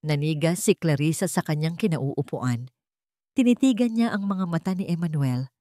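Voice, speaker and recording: Female story narrator, Filipino accent, lower register, dry close sound, dramatic scripted delivery. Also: animated, moderate pace, mellow, no room sound.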